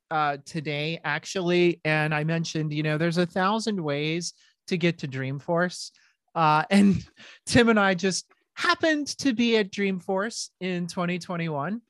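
Lower-voiced animated woman host. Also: unhurried, dry recording, studio quality. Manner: animated, laughing, rambling with restarts. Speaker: podcast host. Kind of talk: casual podcast chat.

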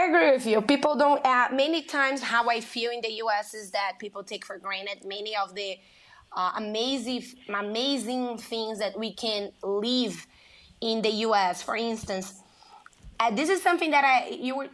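A young woman, a lawyer speaking as a guest in a radio interview, eager and earnest in short phrases with pauses. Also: on the high side, a bit bright. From Brazil.

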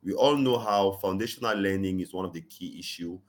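A man's voice: low-pitched, a little fast. A man, speaking earnestly as an interview guest, often saying "you know" and pressing hard on his key points. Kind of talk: conversational interview, advocacy.

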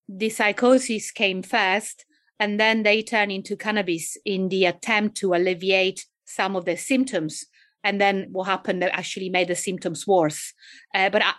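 A woman with an Italian accent, a psychiatrist answering interview questions thoughtfully, with frequent pauses and fillers. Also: medium pitch, fairly bright tone. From Italy. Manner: thoughtful, hesitant with many fillers. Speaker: psychiatrist guest.

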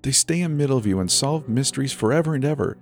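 A man's voice: mid-range voice, expressive, fast talker, soft background music, voice pushed up, close to an everyday voice. A man voicing a creepy, petulant little girl who controls people like puppets, with a whiny, sing-song manner.

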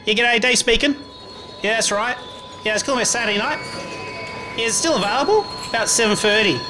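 A man's voice: extremely high pitch, fairly quick, over loud music. A man with an Australian accent playing a casual, upbeat guy chatting on the phone. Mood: breezy.

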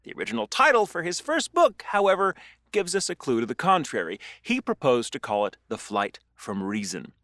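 A man's voice: a little high, huge pitch swings, brisk, a bit bright, dry recording, clean studio sound. A man presenting a podcast, reading a scripted essay in an erudite, literary manner with conversational asides.

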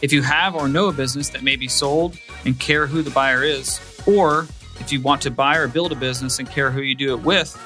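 Male podcast host, formal scripted delivery, soft music bed. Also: mid-range voice, brisk, hardly any pauses. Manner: polished, formal. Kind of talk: scripted intro and interview questions.

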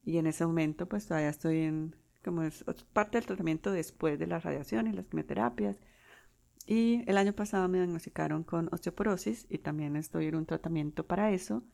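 A Colombian woman in her forties hosting her own podcast, talking unscripted with pauses and restarts. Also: low-pitched, gravelly, mellow. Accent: Colombian Spanish. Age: 40s. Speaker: podcast host. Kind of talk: solo podcast monologue.

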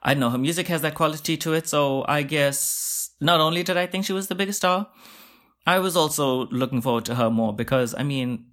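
A man's voice: on the high side, fairly even intonation, brisk, fairly bright tone. Chatty, self-mocking young podcast guy. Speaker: podcast host. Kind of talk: casual solo podcast monologue.

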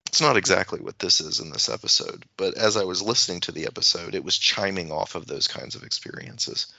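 A man co-hosting a podcast in casual, reflective discussion, speaking haltingly and often correcting himself, on slightly dull audio. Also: sits low, vocal fry, sharp tone, dry recording. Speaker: podcast co-host; teacher.

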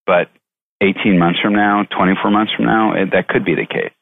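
A man answering interview questions as a company executive, speaking conversationally in measured, halting phrases with repeats, over a tinny phone-like connection. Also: low-pitched, steady tone, gravelly. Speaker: chief executive, interview guest.

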